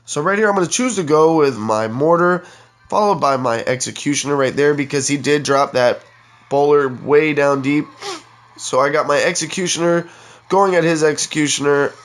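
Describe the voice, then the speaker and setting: A man's voice: fairly high pitch, normal speed. A congested young man giving chatty play-by-play commentary on his own mobile game match, talking straight to his viewers. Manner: chatty, keeps addressing viewers as guys. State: congested, stuffed-up nose. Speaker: gaming channel host.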